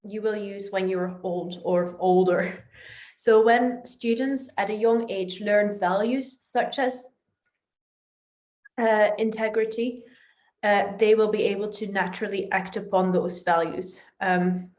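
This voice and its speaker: A woman's voice: medium pitch, a little mellow. A woman from the Netherlands, a native Dutch speaker with a Dutch accent, giving a prepared explanation in an online presentation as a company manager. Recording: roomy, echoing voice.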